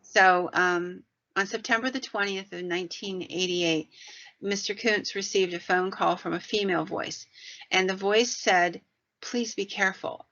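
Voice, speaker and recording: A woman's voice: mid-range voice, fairly even intonation, unhurried, fairly bright tone. A woman podcast host telling paranormal stories in a conversational, folksy way with rambling asides. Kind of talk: conversational storytelling about the paranormal. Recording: dull, lo-fi sound.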